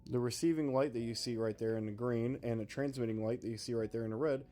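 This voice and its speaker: A man's voice: medium pitch, steady tone, fast talker, warm tone. A man narrating a scripted product review in an explanatory, presenter-like way. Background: background music.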